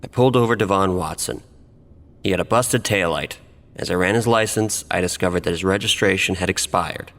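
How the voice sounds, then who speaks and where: A man's voice: low-pitched, fairly quick, fairly bright tone, long reverb tail. A man playing a defensive police officer giving formal, self-justifying testimony in a courtroom audio drama.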